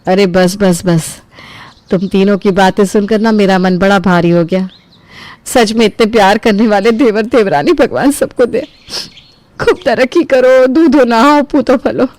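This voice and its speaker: A woman's voice: medium pitch, a little mellow, no echo, close to an everyday voice. A woman voicing a good-hearted, trusting mother and elder sister-in-law in an affectionate, effusive family cartoon story. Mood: tender, grateful.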